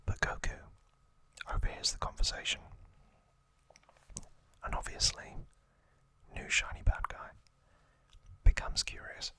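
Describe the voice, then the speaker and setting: Slow whispering male ASMR host. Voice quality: whispered, breathy and unvoiced. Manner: soft, unhurried, short phrases with pauses. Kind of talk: whispered ASMR recap narration.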